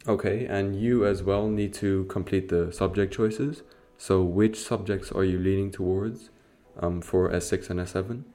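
A man's voice: deep voice, steady tone, normal speed, dark tone. A teenage boy co-hosting a student podcast, moving the interviews along with set phrases. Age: teens. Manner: scripted segment transitions.

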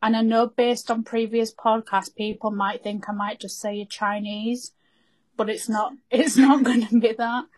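A woman's voice: fairly high pitch, level tone, moderate pace. A young woman co-hosting a podcast, chatting casually and earnestly with everyday northern English slang. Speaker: podcast co-host. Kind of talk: casual chat.

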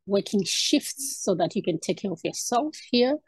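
A woman's voice: mid-range voice, lively intonation, normal speed, husky, no echo. A woman in her fifties from Zimbabwe, a guest talking through her background with frequent ums and restarts. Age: 50s. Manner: hesitant, many ums and restarts. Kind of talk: casual interview conversation.

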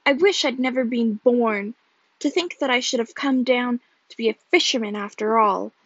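A woman's voice: on the high side, moderate pace, no echo, muffled recording, lightly acted. A woman voicing a sulky, boastful, bad-tempered young man in a storybook, with a snarling, mocking manner.

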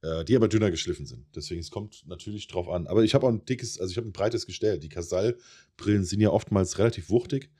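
A man's voice: sits low, fast, dark tone, husky. A man co-hosting a podcast in casual chat, explaining at length with many hesitations and now and then repeating a word from a stutter. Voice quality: occasional repeated words from a stutter. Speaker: podcast co-host.

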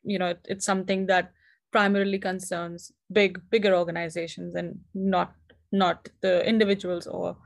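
A woman's voice: lowish voice, little pitch movement, moderate pace, creaky. A young woman from India in her twenties, a company founder, talking earnestly as an interview guest and often restarting her words. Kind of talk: casual interview conversation.

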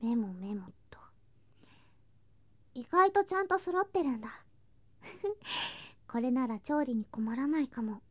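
A woman playing an affectionate, playful younger girl cousin in an intimate ASMR voice drama, speaking softly and coaxingly and slipping into whispers. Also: high-pitched, animated, slow pace, pauses often, dry recording, full character voice. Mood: cheerful, pottering. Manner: soft, sweet, coaxing, drifting into whispers and singsong. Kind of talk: ASMR situation voice drama, intimate roleplay to listener.

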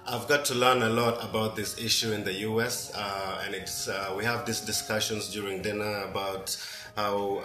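A young man from Kenya speaks in a fairly low voice as a podcast guest, thoughtful and hesitant with plenty of ums. The room is echoey, and clear guitar music plays behind him. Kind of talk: casual interview.

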